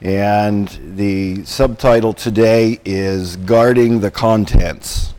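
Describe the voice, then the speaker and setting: A man preaching a Sunday sermon, hoarse and croaky from a cold, speaking haltingly with frequent ums and throat clearing. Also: on the low side, fairly even intonation, mellow.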